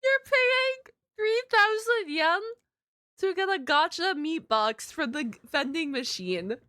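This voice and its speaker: A woman's voice: very high-pitched, expressive, slow pace, bright, forceful tone. A woman streamer chatting casually as she reacts to a video, in an informal, amused, exclamatory way.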